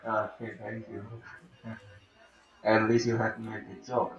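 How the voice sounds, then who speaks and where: A man commenting casually as he plays a video game, thinking aloud in a hesitant way. He speaks slowly in an echoey room, with music behind him. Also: fairly low pitch, vocal fry, dull audio. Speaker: video game let's player.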